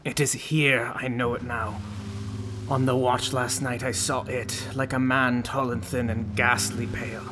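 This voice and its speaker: An actor playing a terrified, half-mad ship's first mate in a gothic radio play, intense and haunted. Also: male voice, mid-range voice, little pitch movement, measured pace, noisy background, lightly acted. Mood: haunted, obsessive. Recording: music playing behind the voice. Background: music.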